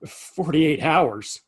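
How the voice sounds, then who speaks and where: A man playing a weary Old West saloon keeper in an audio play, husky, hesitant and folksy. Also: a little high, heavily acted. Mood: indignant, worried. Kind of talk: Western audio play acting.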